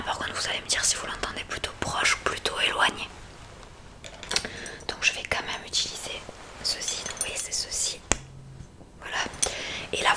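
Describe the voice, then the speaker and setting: A woman whispering softly and breathily in an ASMR roleplay as a gentle, reassuring hearing examiner.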